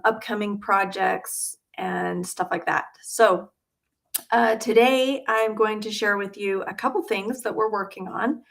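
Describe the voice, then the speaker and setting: A middle-aged woman from Utah hosting a casual livestream from her home studio, chatty and full of ums and uhs. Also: medium pitch. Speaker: quilting channel host.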